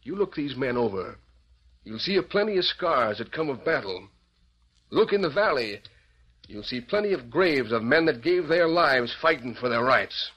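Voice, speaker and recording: Actor as animated, folksy old frontier settler. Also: male voice, mid-range voice, natural voice. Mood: firm, warning. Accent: American. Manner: earnest, measured, folksy. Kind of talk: old-time radio Western acting. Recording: muffled vintage radio recording.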